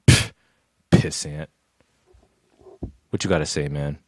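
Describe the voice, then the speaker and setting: A man's voice: extremely low pitch, steady tone, slow pace, dry recording. A man co-hosting a podcast, teasing a rival fan in casual trash-talking chat and stammering into repetitions. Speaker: podcast co-host.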